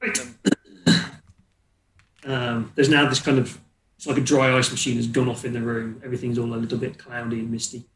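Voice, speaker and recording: A man's voice: mid-range voice, level tone, brisk, pauses often. A man running a tabletop roleplaying game as game master, narrating hesitantly and repeating words as he improvises. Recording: echoey room.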